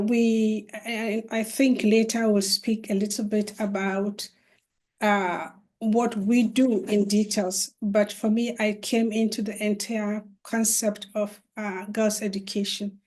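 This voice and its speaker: A middle-aged woman from northern Nigeria speaks on a video call panel. She talks very slowly and earnestly, and she restarts her phrases with many fillers. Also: mid-range voice, flat delivery, darkish tone. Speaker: panelist, law professor and educator. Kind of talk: virtual panel talk.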